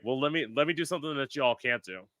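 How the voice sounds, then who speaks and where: Bright chatty podcast host guy, lots of "like", super dry close sound. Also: medium pitch, quick pace, no room sound. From South Florida. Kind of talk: casual podcast chat.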